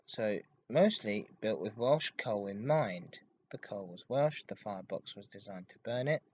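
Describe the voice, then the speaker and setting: A man hosting a hobby podcast, giving an informative enthusiast monologue in a halting way with stressed intensifiers. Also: fairly low pitch, lively intonation, a little mellow, slightly rough, highs cut off. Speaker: podcast host. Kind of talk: informative enthusiast monologue about railway history.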